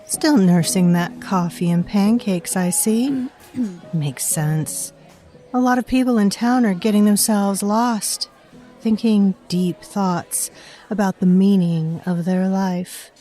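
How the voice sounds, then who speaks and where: A woman's voice: medium pitch, slow pace, mellow, soft background music, lightly acted. A woman playing a wry, knowing fae waitress who tells her tale conspiratorially in an audio drama. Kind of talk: scripted audio drama narration in second person.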